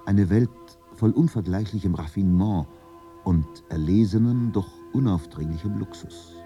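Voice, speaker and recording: A man narrating a literary radio drama in German in a measured, solemn, composed way. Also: sits low, mellow, lo-fi sound, soft background music, lightly acted.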